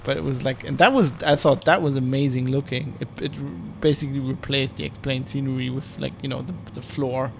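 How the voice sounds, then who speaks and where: A man with a gravelly, fry-edged voice chats loosely while streaming, speaking English. His talk is casual and meandering, full of false starts and self-corrections. His pitch sits at an ordinary middle level for a man. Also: steady tone, fairly quick, hardly any pauses, background noise. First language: German. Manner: rambling, with many restarts and self-corrections. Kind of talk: casual flight-sim stream commentary.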